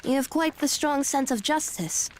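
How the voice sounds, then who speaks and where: A woman's voice: high voice, moderate pace, darkish tone, slight background noise, close to an everyday voice. A woman voicing a composed, courteous, centuries-old genderless tree-born being in an anime video game, formal and articulate.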